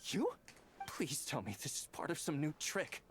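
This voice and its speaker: A man's voice: fairly high pitch, very animated, moderate pace, fairly bright tone, faint music behind. A man voicing a wry, exasperated young officer in a video game, complaining theatrically over faint ambience.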